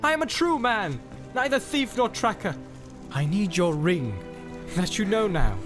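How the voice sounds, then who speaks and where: A man's voice: very animated, unhurried, music in the background. A man voicing a proud, raging warrior. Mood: pleading. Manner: booming declamatory delivery, rising to shouts. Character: proud tall warrior man, grasping, turning to rage.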